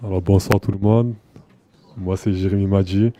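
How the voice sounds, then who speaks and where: A man introducing himself to a group as a basketball player, pausing often with fillers. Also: low voice, fairly even intonation, warm tone. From France. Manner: hesitant, many fillers. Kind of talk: self-introduction.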